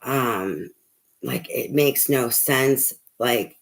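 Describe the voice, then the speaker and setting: A tarot reader losing their voice, talking softly in a rambling, casual way full of fillers and the odd swear. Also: medium pitch, extremely slow, vocal fry. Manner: speaking softly. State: losing voice, hoarse. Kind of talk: casual tarot reading to camera.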